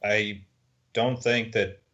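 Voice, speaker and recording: A man giving his view as a podcast panellist in a measured, considered, conversational way. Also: lowish voice, fairly even intonation, sharp tone, dull audio. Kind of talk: casual gaming discussion.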